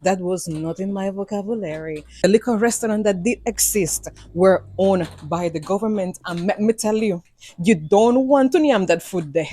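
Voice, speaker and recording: A woman from Cuba speaks with a mellow, warm tone and a Jamaican accent, storytelling in a lively vlog monologue. She repeats phrases for emphasis and slips into patois. Her voice is recorded dry and close. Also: mid-range voice, moderate pace, no room sound.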